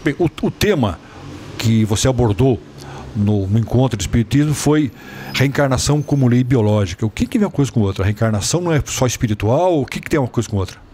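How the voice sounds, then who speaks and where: A man's voice: mid-range voice, lively intonation, quick pace, mellow, slight background noise. A man hosting a spiritist TV program, welcoming a guest formally and asking questions with small hesitations. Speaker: program host interviewer. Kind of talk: TV interview.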